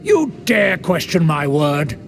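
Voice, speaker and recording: A haughty game aristocrat with a fairly high male voice and lively, swooping intonation. He speaks formally and looks down on everyone, with music playing clearly behind him. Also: takes it slowly, heavily acted. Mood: indignant, imperious. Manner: pompous, formal, condescending. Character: haughty, pompous male aristocrat of a fallen noble clan. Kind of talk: scripted video game character acting.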